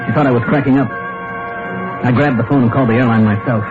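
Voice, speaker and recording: Actor as frantic hunted husband, tinny old radio. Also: male voice, mid-range voice, steady tone, fairly quick, lightly acted. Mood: haunted, tense. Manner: urgent, agitated, melodramatic. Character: frantic, hunted husband fearing he will be killed. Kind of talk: 1940s-50s radio drama acting, first-person narration and dialogue. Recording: tinny old radio recording with loud music.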